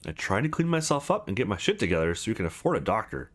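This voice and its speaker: A man's voice: fairly low pitch, very animated, fast, a bit bright. A man voicing a streetwise young woman driver in a visual novel, frank, scrappy and matter-of-fact.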